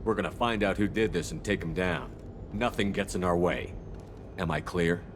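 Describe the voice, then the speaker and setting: An actor playing a resolute military commander hero in a video game, talking in a direct, firm way. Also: male voice, low voice, moderate pace, big-room sound, background noise, natural voice. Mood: firm, questioning. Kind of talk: dramatic video game dialogue.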